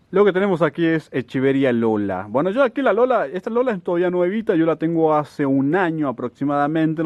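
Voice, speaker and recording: A man's voice: medium pitch, no room sound, dull audio. A man from in a casual, chatty show-and-tell, often asking "¿no?" as he talks. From Salta, Argentina. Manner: chatty, unhurried, frequent "¿no?" asides. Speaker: succulent grower, channel host.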